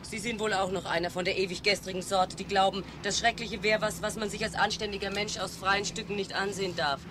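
A man's voice: sits high, steady tone, a little fast, lightly acted. An actor playing an indignant, sarcastic man in a radio play. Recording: clear car and traffic noise behind.

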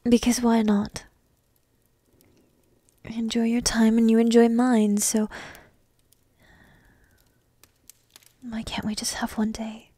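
A woman voicing a petite, playful, secretly affectionate dragon princess in a soft, breathy ASMR roleplay, teasing slowly and often slipping into whispers close to the mic. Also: fairly high pitch, monotone, no room sound. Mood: earnest, insistent. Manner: slow, teasing, intimate delivery with pauses. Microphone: close microphone in a dry room.

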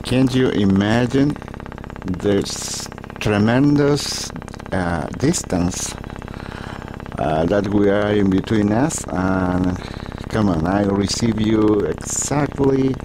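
A man's voice: fairly low pitch, slow pace, background noise. A man from Chile with a Chilean Spanish accent, a radio operator talking effusively through a ham radio contact in sometimes hesitant phrases. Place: indoors at a desk, small room.

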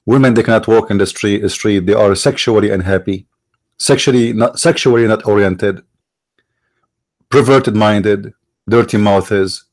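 Middle-aged man, Arabic accent, low register, halting commentary host with frequent restarts. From Middle East. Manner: halting, repeats and restarts words, stresses points. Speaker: online show host. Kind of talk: monologue commentary.

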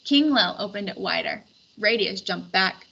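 A woman reading a storybook aloud in expressive scripted narration. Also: medium pitch, unhurried, creaky, slightly rough. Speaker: storybook reader. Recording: dull recording with faint background noise.

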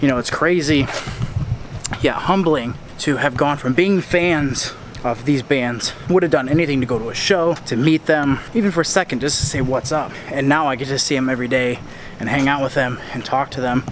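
A man's voice: mid-range voice, moderate pace. A young American man talking casually and earnestly to camera as he walks, recorded on a noisy street outdoors. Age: young adult. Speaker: tour videographer and vlogger. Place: walking on a town street at night. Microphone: camera at arm's length.

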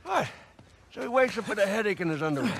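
A man's voice: high voice, quick pace, creaky, a little hiss or hum, heavily acted. An actor voicing a cocky, teasing adult man in a video game, sneaking around with his brother. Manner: teasing, animated. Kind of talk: video game cutscene acting.

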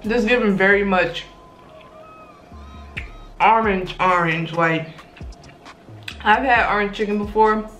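A woman's voice: medium pitch, fairly bright tone, roomy sound. A young woman in her twenties chatting to viewers while cooking at home, emphatic and casual, calling them y'all. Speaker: vlogger. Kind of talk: casual cook-with-me vlog. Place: small apartment kitchen.

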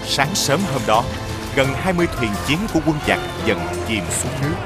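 A man's voice: mid-range voice, lively intonation, brisk. Male folk-tale narrator over prominent music. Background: background music.

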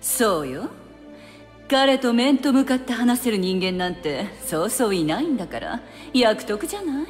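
A woman's voice: fairly high pitch, big pitch swings, moderate pace. A woman playing a haughty, impatient witch in an anime game, condescending and teasing, with a curt, bossy streak. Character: haughty, impatient, sultry adult female witch. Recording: long reverb over background music.

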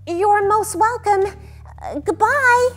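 A woman's voice: very high voice, takes it slowly, soft background music, pitched above the performer's own voice. A woman playing a nervous, polite little boy in a children's story, hesitant and earnest. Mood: nervously polite.

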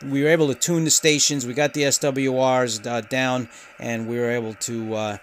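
A man with a medium-pitched voice talks through a casual gear demo. His delivery is halting and hesitant, and there is noticeable noise behind him. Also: level tone. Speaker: amateur radio hobbyist reviewing gear. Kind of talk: casual hands-on product demo commentary.

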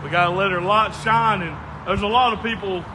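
High-pitched Southern street preacher, traffic noise. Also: male voice, fairly quick. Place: outdoors by a street. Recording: noisy outdoor street recording with traffic.

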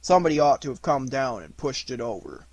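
A man's voice: medium pitch, big pitch swings, fairly quick, darkish tone, dry recording. A man voicing a gloomy, self-pitying old toy donkey with a mournful, resigned, sardonic manner in a storybook reading.

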